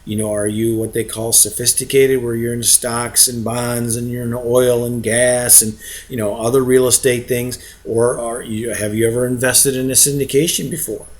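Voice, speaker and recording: A man's voice: medium pitch, level tone, normal speed, hardly any pauses. A man giving an informal explainer as an investment presenter, conversational and often checking in with short rhetorical questions. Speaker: real estate investment presenter.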